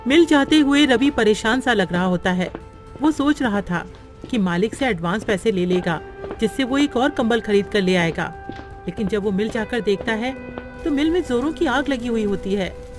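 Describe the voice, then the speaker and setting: Female storybook narrator for cartoon, higher register, light music bed. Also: expressive, moderate pace.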